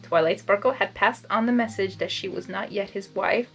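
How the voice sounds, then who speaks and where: A woman's voice: mid-range voice, moderate pace, fairly bright tone, soft background music, poor audio. A woman reading a story aloud as an amateur, with casual, giggly, self-deprecating asides and stumbles over words. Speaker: amateur fan fiction reader.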